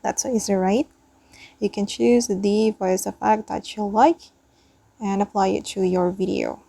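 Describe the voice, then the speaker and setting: A woman hosting a tutorial, narrating step by step with hesitant, drawn-out pauses. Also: mid-range voice, steady tone, gravelly, warm tone, no echo. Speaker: tutorial host.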